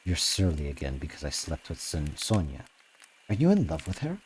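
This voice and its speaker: Man voicing cocky, very deep-voiced young charmer. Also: wildly swooping pitch, moderate pace, slight background noise, full character voice. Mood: teasing. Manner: theatrical, cocky, teasing. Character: boastful, teasing young Russian man, swaggering joke-teller. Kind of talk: acted audiobook dialogue.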